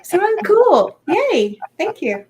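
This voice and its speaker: A woman's voice: very high-pitched, very animated, slow, a crowd in the background. A woman with a mild Southern US accent chatting casually in a group. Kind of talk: casual chat.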